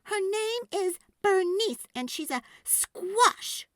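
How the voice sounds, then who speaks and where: Earnest, stubborn little girl for a children's storybook, played by a woman; high, animated, hesitant and heartfelt. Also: takes it slowly, no echo, clean studio sound, exaggerated voice. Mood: indignant. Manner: hesitant ums and huhs, heartfelt.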